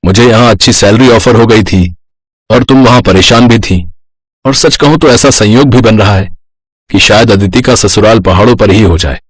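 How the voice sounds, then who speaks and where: A man acts out a middle-aged father and husband in an animated family tale. He explains things calmly and reassuringly in a husky voice. The recording is dry. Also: fairly low pitch, no room sound. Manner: measured, explanatory. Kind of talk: animated moral-story voice acting.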